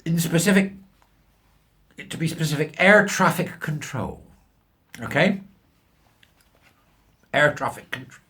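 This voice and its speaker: A middle-aged British man giving sarcastic, incredulous, colloquial commentary on a news article in a husky voice. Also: on the high side, expressive, slow, pauses often, a bit bright. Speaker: commentary show host.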